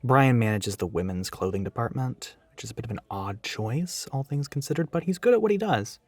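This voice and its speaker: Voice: medium pitch, animated, a little fast, dry recording, natural voice. Performer doing a bossy flirty scheming store coworker, sarcastic, really swoopy voice, close dry mic w music behind. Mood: smug, gossipy. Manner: sarcastic, teasing, swinging from barking to cooing. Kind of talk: acted audio roleplay monologue to listener.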